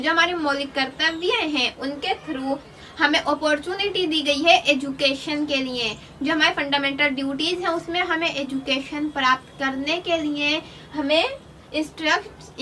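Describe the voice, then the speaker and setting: A woman's voice: high voice, sharp tone. A woman teaching an online lesson, explaining step by step and mixing English terms into her Hindi. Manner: reads article titles slowly, then explains. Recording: distant mic with faint noise.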